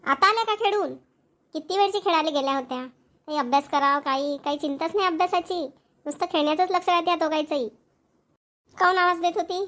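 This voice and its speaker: A woman plays a cartoon village mother who nags and scolds her children. Her voice is high and the words come very fast, in a rural Vidarbha Marathi accent. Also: a bit bright. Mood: firm scolding. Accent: Maharashtrian, Vidarbha Marathi. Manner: nagging, scolding. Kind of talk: cartoon family comedy dialogue.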